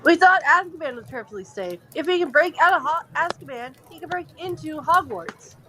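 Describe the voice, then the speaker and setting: Woman as worried wizard dad, high-pitched and earnest, insistent but hesitant, audio drama, faint noise behind. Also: animated, fairly quick, sharp tone, rough recording. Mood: urgent, insistent. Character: worried, earnest middle-aged father and ministry wizard. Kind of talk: fan audio drama acting.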